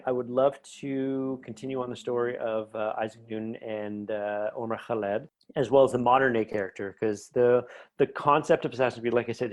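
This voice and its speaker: A man's voice: fairly low pitch, darkish tone. A man speaking casually as an interview guest, explaining things in a rambling, enthusiastic way. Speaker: podcast guest, writer.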